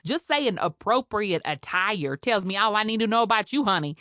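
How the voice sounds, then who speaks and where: A woman's voice: mid-range voice, animated, normal speed, a bit bright, no echo, clean studio sound, voice pushed up, natural voice. A woman voicing a brash, bossy Creole head nurse who scolds and teases in a drawl. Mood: mocking.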